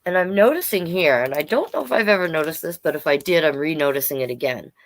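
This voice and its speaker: A woman with a Canadian accent reading picture books aloud to children, playful and expressive, with chatty asides. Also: low voice, fast. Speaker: drag performer hosting children's storytime.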